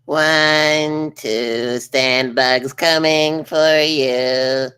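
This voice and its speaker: A woman's voice: low voice, fairly even intonation, very slow, a bit bright, slight background noise, full character voice. A woman playing a vengeful, contemptuous villain bug in a comedy table read, with a theatrical, commanding manner. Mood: menacing. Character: vengeful, sneering villain bug bent on revenge.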